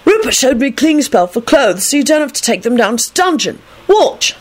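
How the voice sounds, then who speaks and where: A woman puts on a gleeful young boy for an audiobook. The voice is very high and bouncy, full of big pitch swings. He is eager and exclaims happily. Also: very animated, normal speed, fairly bright tone, exaggerated voice. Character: excitable, happy young boy eager for mischief.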